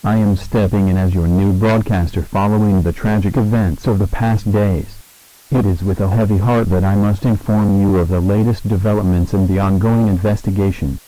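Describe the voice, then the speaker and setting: A man reading a scripted emergency broadcast as an announcer, in a formal, grave and measured manner. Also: low-pitched, fairly even intonation, dark tone, rough recording. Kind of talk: scripted emergency alert narration.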